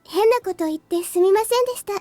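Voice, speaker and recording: A woman playing a polite, clever, sharp-tongued schoolgirl in a Japanese visual novel. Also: extremely high pitch, rapid-fire, no echo, soft background music. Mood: serious, discreet.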